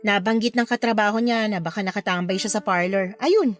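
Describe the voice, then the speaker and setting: A woman's voice: mid-range voice, brisk, soft background music, natural voice. A woman with a Filipino accent playing a hurt, plaintive young woman confiding about a betrayal in an audio drama. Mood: aggrieved recounting.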